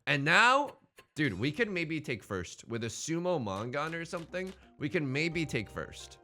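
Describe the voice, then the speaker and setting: A man's voice: a little high, very animated, a little slow, pushed and bright, soft background music. A man hosting a gaming let's play with casual, joking commentary, thinking aloud in a rambling, playfully dramatic and self-deprecating way. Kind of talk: casual running game commentary with joking banter.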